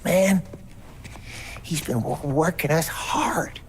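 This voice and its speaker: An actor playing a nervous garage mechanic for the mob, with a heavy stutter, in a video game. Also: male voice, fairly high pitch, takes it slowly, gravelly, slight background noise. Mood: nervous, weary. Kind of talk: video game cutscene acting.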